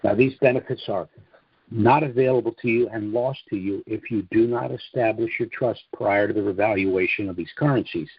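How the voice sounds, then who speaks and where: A man giving a scripted sales presentation on a conference call in a measured, explanatory manner, with muffled, roomy audio. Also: on the low side, slightly rough. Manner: measured, explanatory, asks and answers own questions.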